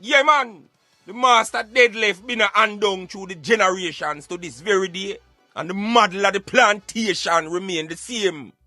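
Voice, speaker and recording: A man's voice: high voice, normal speed, sharp tone, soft background music. A man with a Jamaican accent hosting a talk show in Patois, giving emphatic, repetitive commentary peppered with catchphrases. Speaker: radio show host.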